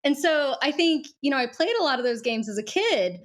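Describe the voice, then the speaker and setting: A woman's voice: high voice, expressive, fairly quick. A young woman from Kuwait, a guest author, chatting casually in an interview with lots of fillers. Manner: chatty, frequent fillers, laughs easily. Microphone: video call.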